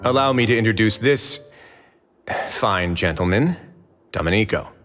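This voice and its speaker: An actor voicing a smooth, authoritative male warden in a video game who talks in a suave, quietly menacing way. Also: fairly low pitch, animated, measured pace, music in the background. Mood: stern. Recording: game audio with long reverb.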